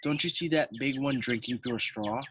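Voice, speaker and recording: A teenage boy voicing a gentle, wise old grandmother who speaks slowly and kindly. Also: mid-range voice, slight background noise, natural voice. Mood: patient.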